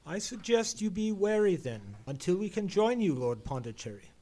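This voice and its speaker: An actor playing a confident, formal Victorian gentleman detective in a live old-time radio mystery play, speaking precisely and theatrically. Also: high voice, very animated, moderate pace. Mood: assured, brisk.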